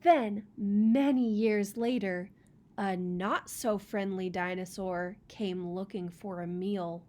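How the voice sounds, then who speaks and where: A woman's voice: medium pitch, fairly even intonation, slow pace, darkish tone. A woman reading a children's picture book aloud as storyteller, expressively, with chatty casual asides.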